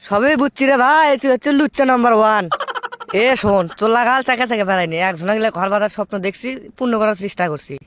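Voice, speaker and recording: A man's voice: high-pitched, fast talker, fairly bright tone, a little hiss or hum. A man voicing a heartbroken, stubborn young cartoon character with a Bogura Bangladeshi accent, complaining in a plaintive, earnest way. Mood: dejected complaining. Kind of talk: comic cartoon dialogue.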